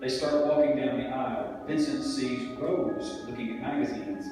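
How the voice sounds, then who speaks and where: A man narrates stage directions for a script reading in a medium-pitched voice. He sounds far from the mic in a long, echoing hall, with music clearly audible. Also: normal speed. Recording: very echoey hall from afar, music.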